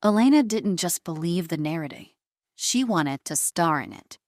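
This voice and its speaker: A woman reading scripted dramatic fiction as narrator, in a measured storytelling manner. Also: lowish voice, expressive, no room sound, studio quality.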